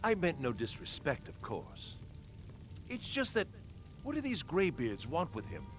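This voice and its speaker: An actor playing a fussy, skeptical, officious court steward in a fantasy video game. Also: male voice, on the high side, huge pitch swings, unhurried. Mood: defensive. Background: music.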